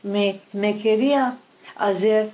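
An older woman from Turkey, whose first language is French, telling her life story in an interview, halting often and restarting her words. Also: medium pitch, dark tone. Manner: halting, many restarts and fillers.

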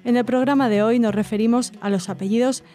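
A woman hosting a radio program, reading listener letters aloud in a measured, gentle way with occasional hesitations. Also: on the high side, fairly even intonation. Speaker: radio host. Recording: faint music underneath.

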